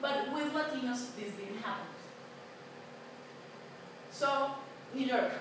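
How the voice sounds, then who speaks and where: A woman's voice: sits high, slow. Young Colombian woman presenting in an echoey room. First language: Spanish. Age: 20s. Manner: chatty, with many fillers and restarts. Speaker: student presenter. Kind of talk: class presentation. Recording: echoey, noisy room from a distance.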